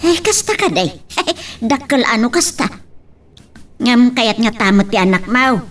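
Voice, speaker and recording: An outspoken rural wife and mother, played by a woman in a homely audio drama. Her voice is notably high and sounds a little removed from the microphone. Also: expressive, unhurried, far from the mic. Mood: questioning. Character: outspoken village mother, wife of an old farmer. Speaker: actress in radio-style drama. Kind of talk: Ilocano audio drama acting.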